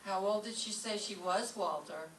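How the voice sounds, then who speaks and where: A woman playing a sharp-tongued English teacher with dry, sarcastic delivery in an old-time radio comedy, recorded from a distance in a roomy space. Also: mid-range voice, moderate pace, natural voice. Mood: jealous, sardonic. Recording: distant roomy stage pickup, faint noise.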